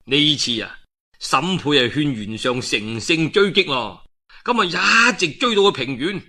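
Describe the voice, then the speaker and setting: A male storyteller with a Guangdong Cantonese accent narrates in a very bright, forceful voice. His pitch sits a little high and swings widely, giving the colloquial, dramatic delivery plenty of emphasis. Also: a little slow. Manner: colloquial, expressive, dramatic with frequent emphatic particles. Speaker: storyteller of a classical historical novel. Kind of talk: animated traditional oral storytelling narration.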